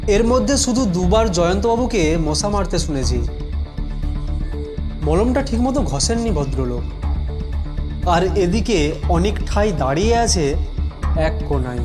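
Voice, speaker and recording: A man telling a scripted first-person suspense story in a measured, dramatic way, with music behind him. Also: high voice, lively intonation.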